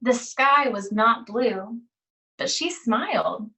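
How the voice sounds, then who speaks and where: A woman teacher reading a children's storybook aloud, with deliberate, expressive phrasing and pauses. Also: on the high side, far from the mic.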